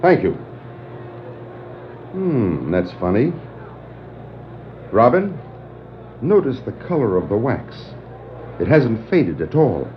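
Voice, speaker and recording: An actor playing an earnest, commanding superhero detective with stagey, declarative delivery on a 1960s children's adventure record. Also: male voice, medium pitch, big pitch swings, takes it slowly, pauses often, slight background noise. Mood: thoughtful. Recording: dull 1960s vinyl record dramatization.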